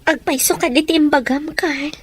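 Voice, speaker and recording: A woman's voice: high voice, steady tone, moderate pace, lightly acted. A woman playing a devoted, dutiful young elder sister in an audio drama, tender and earnest. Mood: tender, torn. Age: young adult. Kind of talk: Ilocano audio drama acting.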